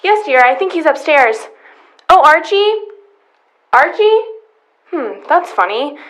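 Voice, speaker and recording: A woman's voice: high-pitched, sing-song, takes it slowly, fairly bright tone, exaggerated voice. An actress playing a fussy, sweet middle-aged housewife who calls out in a motherly sing-song, in old-time radio comedy style.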